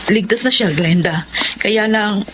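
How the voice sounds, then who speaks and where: A woman's voice: mid-range voice, unhurried, a little hiss or hum, natural voice. An actress playing a poor, devoted wife and worried mother in a Filipino radio drama, sincere and plaintive. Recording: loud dramatic music behind the voice.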